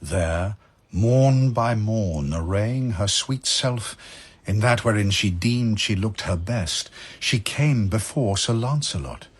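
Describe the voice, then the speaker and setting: A man narrating verse as a dramatic storyteller, in a measured, solemn, literary manner. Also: on the low side, expressive, breathy edge.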